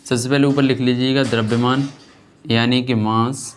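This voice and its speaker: A man's voice: mid-range voice, flat delivery, normal speed, a little hiss or hum. A man teaching a physics class, asking and answering his own questions and repeating key terms. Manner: frequent check-in tag after points. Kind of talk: classroom lesson, explaining while writing on a board.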